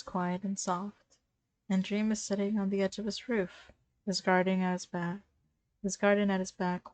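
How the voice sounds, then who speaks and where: A woman's voice: medium pitch, no echo, low-quality recording. A woman reading a story aloud informally to friends, giggly and ready to break off and react. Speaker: fan fiction reader.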